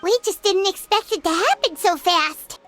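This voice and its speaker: Very high, squeaky little companion. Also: female voice, lively intonation, moderate pace, fairly bright tone. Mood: surprised. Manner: squeaky, childlike. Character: tiny childlike floating companion, blunt and earnest. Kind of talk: video game voice acting. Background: background music.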